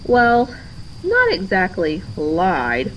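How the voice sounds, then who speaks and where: A woman's voice: mid-range voice, wildly swooping pitch, very slow, slight background noise, exaggerated voice, low-quality recording. A woman voicing a hurt, indignant, lonely young girl in a storybook, speaking earnestly and emphatically. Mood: sad, betrayed.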